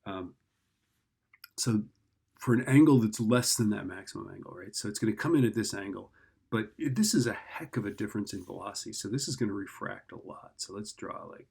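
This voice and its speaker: A man with a fairly low, gravelly voice gives an informal lesson. He talks through each step as if thinking aloud, in a casual teacher's manner, often checking that the listener follows. His tone has a husky edge. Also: fairly quick, a little mellow. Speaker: physics teacher. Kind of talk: informal worked-problem explanation.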